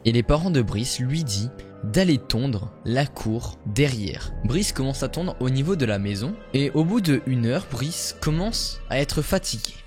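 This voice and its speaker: A man narrating paranormal stories in a steady, measured storytelling voice. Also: mid-range voice, soft background music. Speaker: YouTuber.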